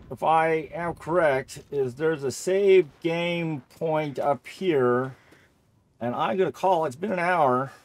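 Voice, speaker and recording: A man giving casual video game playthrough commentary, jokey and thinking aloud as he goes. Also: on the high side.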